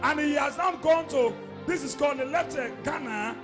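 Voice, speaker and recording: A male pastor prophesying in a commanding, repetitive, shouting delivery. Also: very high-pitched, moderate pace, music in the background.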